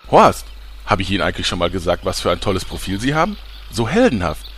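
A man's voice: sits low, very animated, quick pace, a bit bright, a little hiss or hum. A man playing a smarmy, scheming ex-journalist with grandiose patter in a parody fantasy audio drama. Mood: flattering, grandiose. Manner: grandiose, gushing salesman patter. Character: smarmy, scheming male ex-journalist turned flatterer.

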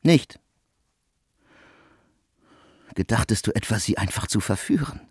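An actor in a German radio play, playing a cool, probing, formal man with husky, deliberate, ornate and ironic diction. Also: fairly low pitch, animated, a little mellow, no room sound, studio quality. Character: cool, probing, formal man asking a friend to woo for him.

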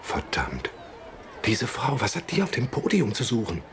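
Low-voiced, grim, wary lookout. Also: male voice, wildly swooping pitch, moderate pace, fairly bright tone. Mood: grim, worried. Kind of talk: radio drama acting. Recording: noisy outdoor ambience.

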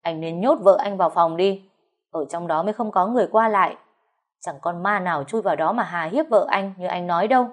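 Woman reading a hot-headed young husband, harsh and clipped, low-pitched voice. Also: lively intonation, moderate pace, darkish tone. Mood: furious. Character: hot-tempered young husband, protective and fierce.

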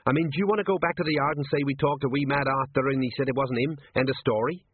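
A man's voice: medium pitch, steady tone, fast talker, no echo, voice pushed up, natural voice. Man doing a rambling old sergeant. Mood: worried. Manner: rambling, folksy working-class talk. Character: aging, portly, worried watch sergeant, man. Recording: dry husky phone-like audio.

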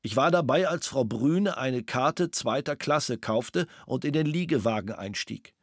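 A man reading out a witness statement in a measured, matter-of-fact way. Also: mid-range voice, expressive, no room sound. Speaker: reader of a statement. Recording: dry studio recording.

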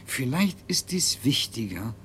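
A man's voice: sing-song, a little slow, a bit bright. A man playing a lofty, self-satisfied scientist in a 1970s radio play, with a stilted, pompous delivery. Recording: 1970s radio recording with low rumble.

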